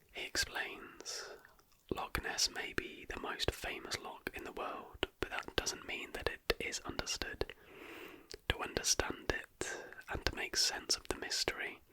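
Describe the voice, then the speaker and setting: An ASMR host reading a script in a soft whisper, with careful, deliberate phrasing.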